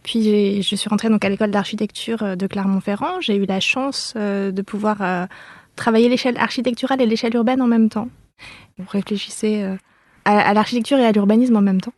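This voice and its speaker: A woman's voice: mid-range voice, fairly even intonation, fairly quick, a little mellow, no room sound. A woman from France, an architect, earnestly recounting how she came to architecture in an interview. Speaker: architect, office co-founder.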